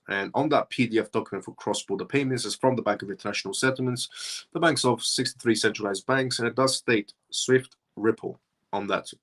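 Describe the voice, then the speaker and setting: A man's voice: medium pitch, fairly bright tone. A man originally from Iran, hosting a live show and talking through his points in a rambling way that circles back on itself. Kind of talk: casual livestream commentary.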